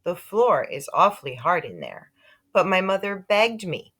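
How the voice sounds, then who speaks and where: A woman reading a children's book aloud in an expressive storytelling style. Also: fairly low pitch, a little slow, husky. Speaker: story reader.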